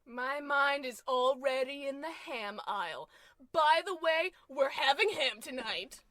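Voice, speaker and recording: A woman's voice: high voice, animated, measured pace, background noise. A woman playing a long-suffering wife in an old-time radio comedy, coaxing yet tart and dryly exasperated.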